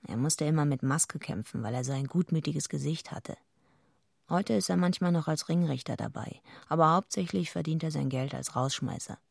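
Gravelly, streetwise young woman, dry. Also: low voice, moderate pace, dry recording. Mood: wry, matter-of-fact. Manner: dry, matter-of-fact. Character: tough, streetwise young woman wrestler, wry narrator. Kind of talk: first-person radio drama narration.

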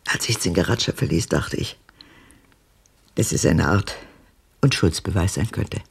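An actress playing an embittered old woman who recalls her past with dramatic intensity in a radio drama, her voice husky and gravelly. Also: extremely low pitch, expressive, unhurried, heavily acted. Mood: brooding, haunted. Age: older.

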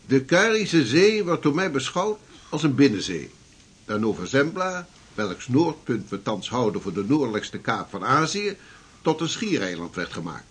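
An actor playing an assertive, learned geographer in a period radio drama, lecturing emphatically. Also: male voice, mid-range voice, sing-song, normal speed, a bit bright, husky. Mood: emphatic. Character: assertive learned geographer urging a sea expedition.

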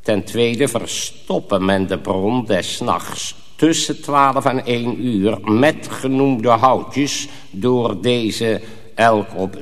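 Radio drama role: solemn sorcerer teacher, played by a man, slow ritual recitation in deep cavernous reverb. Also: natural voice. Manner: solemn, instructing, incantatory. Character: solemn male sorcerer master reciting an arcane spell.

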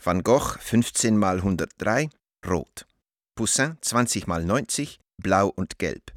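Low-voiced, composed letter-writing businessman. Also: animated, no echo, studio quality. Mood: cool, formal. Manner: measured, deliberate, formal dictation. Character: composed, pointedly cordial businessman dictating coded letters to a friend. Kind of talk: radio drama letter reading.

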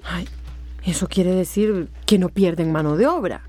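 Actress as a husky, anxious female assistant. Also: lowish voice, normal speed, warm tone, close to an everyday voice. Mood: puzzled. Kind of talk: radio drama acting.

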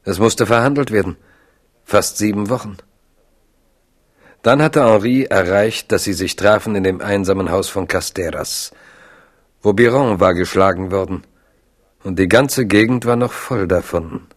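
Erzähler mit sehr tiefer Stimme. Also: male voice, on the low side, a little slow, pauses often. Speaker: narrator. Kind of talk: scripted literary narration.

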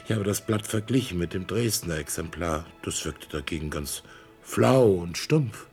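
A proud, fervent old art collector. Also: male voice, sits low, expressive, normal speed, darkish tone, faint music behind. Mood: enthusiastic. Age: older. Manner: fervent, boastful, stubborn.

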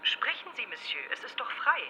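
A woman's voice: a little high, very animated, fairly quick, bright, forceful tone, heavily acted, poor audio. An actress playing a brusque, officious female telephone operator of old Paris in a radio drama, heard through a telephone filter. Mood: officious, impatient.

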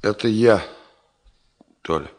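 A man's voice: lowish voice, slow pace, slight background noise. An actor playing a brusque, agitated male chief engineer in a 1970 Soviet radio play, emotional and abrupt. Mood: subdued, heavy. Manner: emotional, abrupt, swinging from hushed to shouting.

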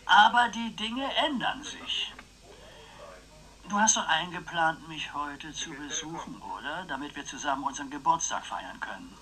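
An eccentric older uncle in a radio drama. His voice is bright and very lively in melody, and he rambles on in a chatty, wry, philosophical way, teasing as he muses. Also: fairly high pitch, normal speed, low-quality recording. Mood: chatty, reflective. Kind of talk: radio play acting.

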